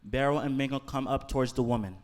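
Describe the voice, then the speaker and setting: A man's voice: mid-range voice, steady tone, quick pace, natural voice. A man reading out stage directions in a staged play reading.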